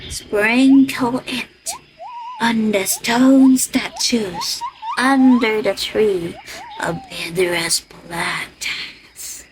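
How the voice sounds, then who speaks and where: Radio play: woman as weak, kindly old witch. Extremely slow, halting delivery, noisy outdoor ambience. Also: on the high side, sing-song, exaggerated voice. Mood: kindly, instructing. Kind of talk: student radio play acting.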